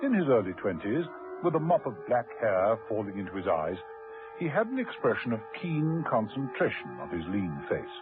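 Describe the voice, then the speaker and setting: A man's voice: lowish voice, big pitch swings, normal speed, phone-quality sound. A man narrating a scripted suspense serial for radio as its storyteller. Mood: suspenseful. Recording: band-limited old radio recording with music. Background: music.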